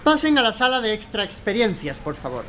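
A man's voice: high voice, very animated, brisk, bright, forceful tone, a little hiss or hum, full character voice. A man performs an unctuous supermarket salesman in a radio drama. He has an accent from Spain and a theatrical, over-polite sales patter, always gushing and eager to impress the customer. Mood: unctuous welcome. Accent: Spanish from Spain. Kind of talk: radio play acting.

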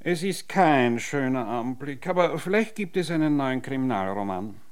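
A courtly, inquisitive gentleman from a law office, acted by a man in a radio play. His mid-pitched voice moves in lively swoops, formal and gallantly flirtatious. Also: moderate pace, clean tone, lightly acted. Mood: businesslike. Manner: formal, old-fashioned gallantry. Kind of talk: radio drama acting.

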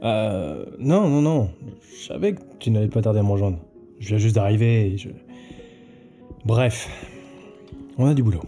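A man's voice: on the low side, expressive, moderate pace, pauses often, warm tone. A man acting an earnest, self-assured investigator in an audio drama. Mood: sheepish. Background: music.

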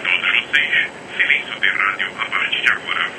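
A man's voice: deep voice, expressive, a little fast. A man playing a formal, commanding squad leader in an audio drama, giving clipped orders over a military radio. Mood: composed, authoritative. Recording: very noisy crackling radio transmission.

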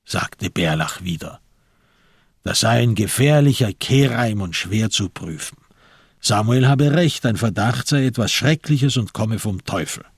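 A man narrating a radio crime drama in a measured, sober, literary manner, with a husky voice. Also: lowish voice, expressive, no room sound, studio quality, full character voice.

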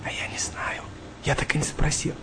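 An actor playing a shy, sensitive, worn-out eighteen-year-old sailor in a radio play, confiding in a hesitant, naive way. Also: male voice, moderate pace, in a whisper, close to an everyday voice, low-quality recording. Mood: shy, wistful. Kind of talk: naturalistic radio play dialogue.

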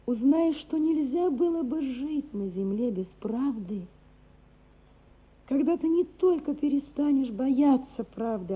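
A loving mother in a radio play, her voice very husky and fairly high. She speaks slowly, exhorting her small son with measured, emphatic earnestness, through a narrow, muffled sound. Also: exaggerated voice. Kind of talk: radio drama acting.

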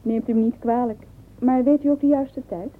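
A woman plays a bold, teasing girl in a radio play. Her voice sits high and has a saucy, cheeky lilt. The recording is old and thin, with a narrow phone-like band. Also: fairly even intonation, normal speed, natural voice. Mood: mock polite. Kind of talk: radio drama dialogue.

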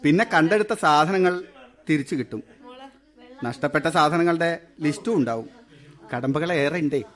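A man's voice: fairly high pitch, moderate pace, close to an everyday voice. A man playing a dry, businesslike official. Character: matter-of-fact official explaining procedures.

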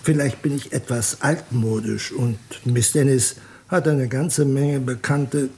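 A man playing a deferential, old-fashioned butler and former soldier in a German radio crime drama, formal and measured. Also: mid-range voice, steady tone, a little mellow, slight background noise, close to an everyday voice. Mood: disapproving. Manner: formal, measured, servile politeness.

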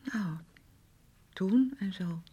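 A woman's voice: medium pitch, very slow, dark tone, slightly rough, exaggerated voice. An actress playing a fragile, naive grown woman in a radio drama, speaking breathily and often near a whisper in simple, hesitant phrases. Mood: wistful, confused. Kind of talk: naturalistic Dutch radio drama acting.

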